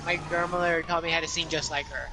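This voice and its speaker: High-voiced rambling man, noisy game. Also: flat delivery. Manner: rambling, halting, many fillers. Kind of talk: casual chat while gaming.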